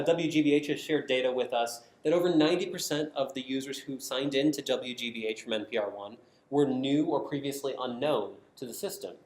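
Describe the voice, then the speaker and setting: A man giving a prepared conference presentation, explaining his points with emphatic stresses. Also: medium pitch. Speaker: conference presenter, design team member at a public radio network. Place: indoor conference talk. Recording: echoey room sound.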